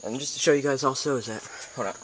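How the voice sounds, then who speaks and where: An American man giving a casual, hands-on video tutorial in an informal, halting way, thinking aloud as he goes. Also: medium pitch. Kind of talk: casual hands-on how-to demonstration. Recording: noisy amateur camera recording with clicks.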